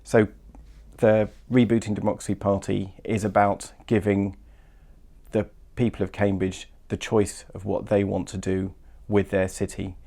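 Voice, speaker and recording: A man explaining policy as a parliamentary candidate at a hustings in a hesitant, halting way. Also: on the low side, little pitch movement, gravelly, warm tone.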